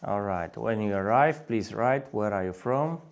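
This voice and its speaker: A man's voice: on the low side, lively intonation, measured pace. A man in his thirties with a Polish accent hosting a language-learning live stream, repeating phrases and coaxing viewers to comment. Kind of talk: live-stream webinar talk.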